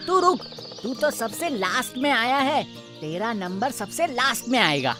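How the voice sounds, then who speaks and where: A woman's voice: a little high, expressive, unhurried, music in the background. A woman voicing a confident, bossy young schoolboy in a children's cartoon, assertive and childlike.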